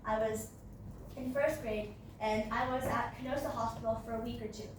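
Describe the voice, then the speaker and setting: A woman's voice: on the high side, steady tone, moderate pace, far from the mic, a little hiss or hum. Brazilian teen girl presenting in echoey room. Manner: casual, many fillers and "like". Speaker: student presenter. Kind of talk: class presentation.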